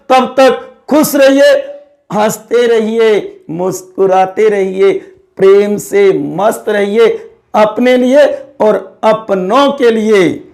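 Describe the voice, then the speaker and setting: A man's voice: very high-pitched, a little mellow. A male teacher giving an exam-prep civics lecture. He repeats key terms and keeps checking whether the students follow. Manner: drawn-out, emphatic slogan-like closing.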